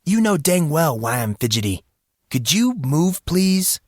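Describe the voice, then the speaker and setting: Guy playing an embarrassed teen boy in an audiobook, sarcastic and flustered, pitch jumps around a lot. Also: sing-song, unhurried, no room sound, studio quality. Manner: awkward, dryly sarcastic.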